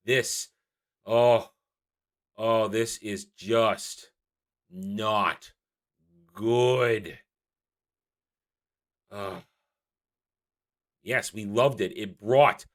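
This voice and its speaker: A man hosts an opinionated commentary vlog in a medium-pitched voice. He sounds exasperated and emphatic, circling back over the same phrases. Key words get stretched out for weight. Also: fairly bright tone. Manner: exasperated, emphatic, repeats phrases with drawn-out stresses. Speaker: YouTube channel host.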